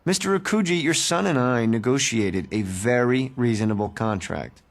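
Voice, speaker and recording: An actor playing a condescending, businesslike corporate executive in a video game, polished and sardonic. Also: male voice, mid-range voice, animated, moderate pace, lightly acted. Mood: firm, sardonic. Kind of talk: video game cutscene acting.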